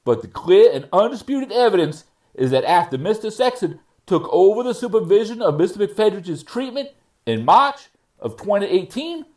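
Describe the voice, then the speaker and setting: A man plays a judge delivering a formal courtroom ruling. His voice sits a little high and moves widely in pitch, while the phrasing stays deliberate and measured, as in a staged reading of a transcript. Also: a little mellow, close to an everyday voice. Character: male judge ruling at a probation revocation hearing. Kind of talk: courtroom readers theater reading.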